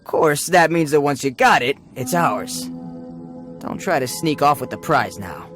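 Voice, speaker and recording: Streetwise game bandit: sneering, slangy, lively swaggering delivery, fairly high. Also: male voice, unhurried. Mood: mocking. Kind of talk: video game voice acting. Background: game music.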